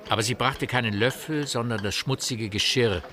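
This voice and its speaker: A man's voice: mid-range voice, normal speed, pushed and bright. A man narrating a radio drama in scripted literary prose.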